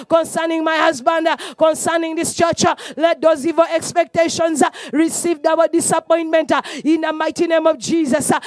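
Woman pastor yelling prayers, uh before every line, kinda flat tone, dry close mic. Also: very high voice, dry recording. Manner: shouting declarations with an "uh" before each phrase, breaking into tongues. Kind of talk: fervent shouted congregational prayer.

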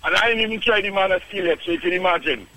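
A man's voice: sits high, racing, sharp tone, no echo, faint music behind, rough recording. A man in his fifties from Trinidad with a Trinidadian accent, calling in to a radio show and raving enthusiastically, calling the host "boss" again and again. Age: 50s. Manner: enthusiastic, repeats "boss" often, chuckles. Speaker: radio caller giving a testimonial. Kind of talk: phone-in radio chat.

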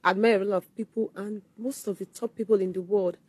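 A woman's voice: mid-range voice, steady tone, a little fast, darkish tone, breathy edge, no room sound. A confident, blunt woman from Owerri, Nigeria, speaking as a guest in a casual interview.

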